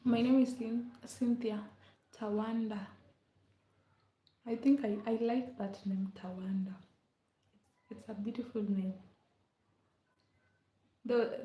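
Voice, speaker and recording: A woman's voice: mid-range voice, dark tone. A young woman from Kenya, chatting casually with viewers on a livestream, laughing often and repeating her words. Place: rented room at home, indoors. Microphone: laptop mic. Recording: echoey room, dull lo-fi sound.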